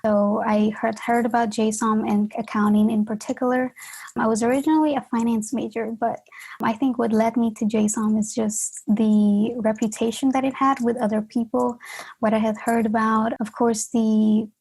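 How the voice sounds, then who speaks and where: A young woman in her twenties from Honduras, a graduate student answering interview questions in an earnest, measured way. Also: fairly high pitch, little pitch movement, a little mellow, breathy edge, poor audio. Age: 20s.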